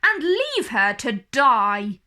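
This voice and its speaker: A wicked, vain fairy-tale queen, acted out by a woman storyteller. She is imperious and snarling, high in pitch and slow, savouring her cruelty. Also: huge pitch swings, pushed and bright, heavily acted. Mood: snapping. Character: vain, cruel evil queen, screeching and commanding.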